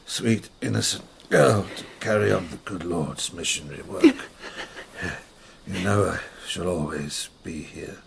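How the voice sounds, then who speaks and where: An actor playing a dying, pious older missionary, speaking slowly and weakly to his sister in a deep, gravelly voice. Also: slight background noise, heavily acted. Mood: tender, weak. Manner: slow, faint, tender speech. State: dying, weak.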